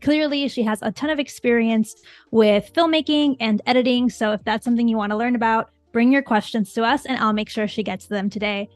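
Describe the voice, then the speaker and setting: Upbeat lady hosting a livestream, kinda high voice, real dry close sound, greets the chat all excited. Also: brisk, no echo, faint music behind. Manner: enthusiastic, reads chat names aloud. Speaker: livestream host.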